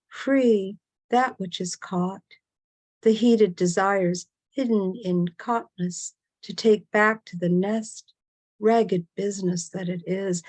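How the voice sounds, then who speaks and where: A woman gives a poetry reading in a soft-edged, mellow voice. She moves slowly and deliberately, setting down short phrases with pauses in an incantatory way. The sound is close and dry. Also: medium pitch, no echo. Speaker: poet reading own work.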